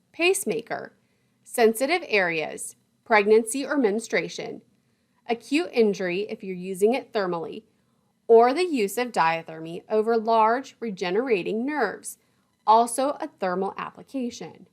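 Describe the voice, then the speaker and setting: A woman's voice: medium pitch, animated, a little slow. A woman lecturer reading scripted instructional material in a methodical, didactic way, setting out terms and lists one by one.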